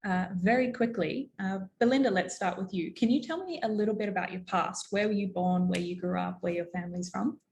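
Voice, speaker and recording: A woman's voice: mid-range voice, fairly quick, gravelly, hardly any pauses, a little mellow, a little hiss or hum. A woman, asking questions as an interviewer in a friendly, structured chat.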